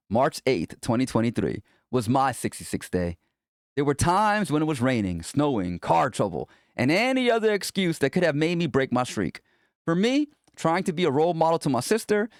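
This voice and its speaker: A man's voice: a little high, no room sound, studio quality. A man reading his own self-help book aloud in a conversational, motivational way, speaking directly to the listener. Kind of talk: scripted self-help audiobook narration.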